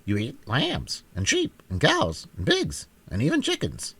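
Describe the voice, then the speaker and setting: Man playing a raspy, creaky, chatty storybook hen. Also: mid-range voice, wildly swooping pitch, a bit bright, heavily acted. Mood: puzzled, argumentative. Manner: matter-of-fact, unflappable, quick to retort. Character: chatty, self-assured talking yellow hen. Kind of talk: storybook character voice in audiobook reading.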